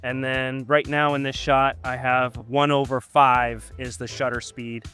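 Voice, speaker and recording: A man at a middle pitch walking a friend through camera settings outdoors at dusk. He talks casually and patiently, pausing often with ums and uhs, with faint music underneath. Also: little pitch movement, sharp tone, clear, resonant tone. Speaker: photographer teaching a guest. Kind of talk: casual how-to explanation.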